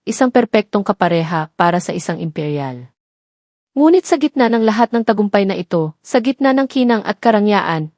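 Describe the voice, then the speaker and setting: A Filipino woman narrates a scripted dramatic story. Her voice sits at a middle pitch for a woman. The sound is dry and close, with little room to it. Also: darkish tone, no room sound.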